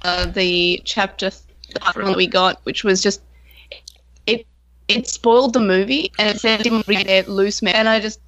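A woman co-hosting a casual podcast, halting and stumbling over her words on an online call that breaks up. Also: medium pitch, fairly even intonation, fairly bright tone, dry recording, rough recording. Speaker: podcast co-host.

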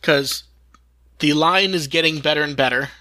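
A man's voice: a little high, normal speed, pushed and bright. A man hosting a fan podcast, talking casually in informal discussion. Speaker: podcast host.

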